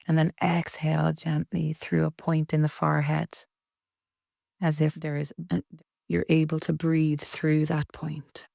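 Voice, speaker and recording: A woman leading a guided relaxation meditation in a soft, breathy, soothing way with long pauses. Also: low-pitched, no echo, studio quality. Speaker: yoga nidra meditation guide.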